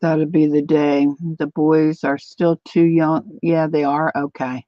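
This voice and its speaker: An older woman chatting casually to viewers while she crafts, thinking out loud as she works. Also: low voice, level tone, slow, no room sound. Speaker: craft livestreamer. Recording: dull lo-fi dry sound.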